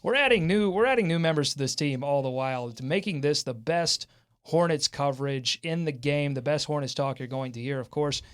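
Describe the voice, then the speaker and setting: A man hosting a sports podcast in a lively, upbeat, joking banter style. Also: fairly high pitch, fairly quick, clear, resonant tone.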